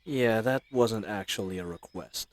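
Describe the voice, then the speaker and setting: A man's voice: lowish voice, unhurried, dry recording, lightly acted. A man playing a chatty, roguish bandit leader in an audio roleplay, with folksy slang and teasing mock courtesy. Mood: sly, teasing. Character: roguish, chatty, friendly male bandit leader, mockingly polite. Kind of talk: scripted audio roleplay addressed to the listener.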